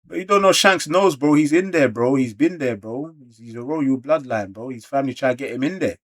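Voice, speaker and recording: Man, London accent, mid-pitched voice, excited reactor, slangy delivery, exclamatory, informal read-along. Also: fairly quick. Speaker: YouTube reactor.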